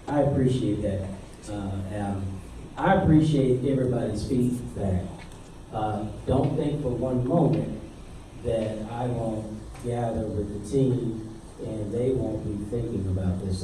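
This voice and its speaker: Courteous man with a mellow, dark voice. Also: lowish voice, a little hiss or hum. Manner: courteous and reassuring, "yes, ma'am". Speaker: developer representative. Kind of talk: answering questions at a public meeting. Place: large community meeting hall. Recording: echoey hall, mic far off.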